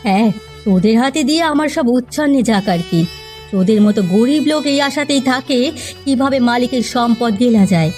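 A woman's voice: on the high side, fairly quick, a little mellow, faint music behind, natural voice. A woman playing a proud rich housewife fallen on hard times in a melodramatic cartoon tale, plaintive and dramatic. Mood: haughty, scornful.

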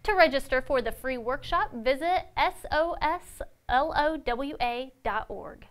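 A young woman anchoring a local news update in a studio, reading announcements in a crisp, even newsreader style. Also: high-pitched, measured pace. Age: young adult.

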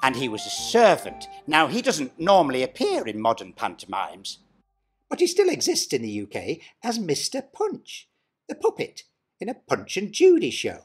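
A middle-aged man narrates with the playful, exaggerated flair of a pantomime dame, his pitch leaping and swooping all over the place. He speaks from a small dressing room at desk distance from the camera, with faint music behind him. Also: wildly swooping pitch, moderate pace, husky. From Britain. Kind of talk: scripted explainer narration.

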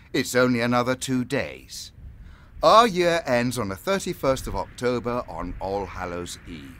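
A man's voice: fairly low pitch, fairly bright tone, a little hiss or hum, exaggerated voice. A man playing a mocking, menacing pagan lord in an audio drama, with an urbane, theatrical, condescending manner. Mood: sly. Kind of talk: full-cast audio drama acting.

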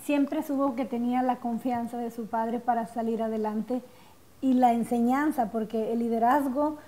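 Upbeat woman co-host on a tv show, pretty high but soft voice, welcoming the guest. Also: fairly even intonation, normal speed, rough recording. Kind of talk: TV studio interview. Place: TV studio set. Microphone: lapel.

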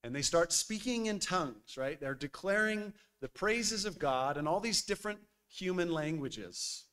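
A man, preaching a sermon at a church pulpit in a lively, conversational way with frequent filler words. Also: sits high, normal speed, clean tone. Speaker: pastor. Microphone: boom mic on a stand at the pulpit.

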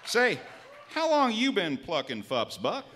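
A man's voice: high voice, wildly swooping pitch, unhurried, a bit bright, a faint crowd, a little hiss or hum, full character voice. A man in his thirties playing a laid-back, good-natured beer truck driver in a live comedy sketch full of tongue-twisters. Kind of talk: live radio-style beer ad parody. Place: stage in a small theatre. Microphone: stand microphone.

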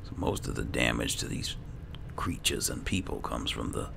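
A man murmuring low-key in-character gameplay commentary, often half-whispering as if musing to himself. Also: lively intonation, normal speed, vocal fry, noisy background. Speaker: gaming video host playing a roleplay character.

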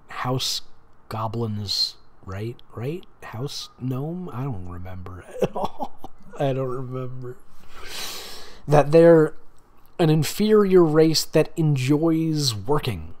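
Man, amused sarcastic delivery, lively varied pitch, story reading mixed with casual commentary. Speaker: host reading fan fiction aloud with commentary. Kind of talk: dramatic reading with casual mocking asides.

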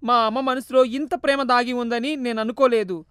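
A woman's voice: high-pitched, brisk, a bit bright, dry recording, studio quality, voice pushed up. A woman voicing a grieving young man who pleads and cries out to his mother. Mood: weeping. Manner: tearful, pleading, crying out.

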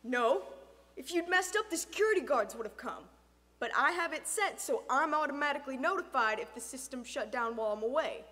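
A woman voicing a stern, suspicious, grieving middle-aged father in an audiobook, forceful and emotional. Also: high voice, animated, fairly quick, in a large hall. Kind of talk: dramatic audiobook character acting.

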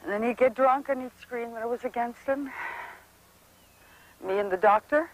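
An actress playing a weary widow who talks softly and wistfully about her late husband. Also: fairly high pitch, normal speed, close to an everyday voice, rough recording. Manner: soft, rambling, wistful. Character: warm, weary widow of a drinker. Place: outdoors, cemetery.

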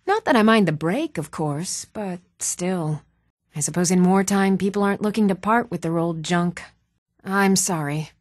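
An actress playing an adult townswoman in a fantasy role-playing game, speaking in an even, measured, clearly enunciated way. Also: fairly low pitch, dry recording, studio quality, lightly acted. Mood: formal, firm. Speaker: video game voice actor. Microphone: close, dry studio microphone.

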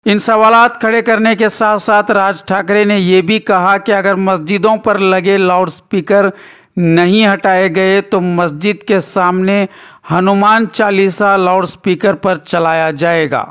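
A man's voice: sits high, steady tone, a little slow, fairly bright tone, clean tone. A man reporting news as scripted narration with commentary, in formal, rhetorical phrasing.

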